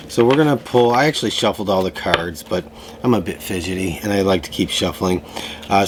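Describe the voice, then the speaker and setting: A man giving a casual tarot reading to camera, slightly hoarse from a lingering cough, talking in a loose way with many fillers. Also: lowish voice, noisy background. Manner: relaxed, loose, full of fillers and restarts. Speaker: tarot reader. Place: room at home.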